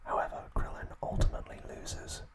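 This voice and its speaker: Male ASMR narrator, fully whispered, soft and unhurried storytelling delivery. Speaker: ASMR host.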